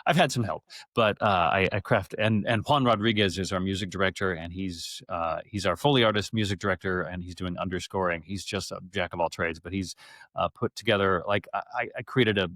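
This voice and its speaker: A man speaking as an interview guest about his theater work, in casual, animated, effusive storytelling. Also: deep voice, a little fast, dry recording, studio quality. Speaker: interview guest, theater actor and radio-theater adapter.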